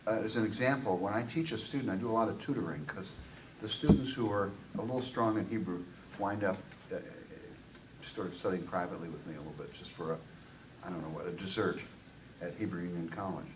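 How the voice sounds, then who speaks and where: A man in the audience of a seminar, commenting in a discursive, deliberate way with many asides. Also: deep voice. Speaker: audience member, college tutor. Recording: distant mic, noisy room.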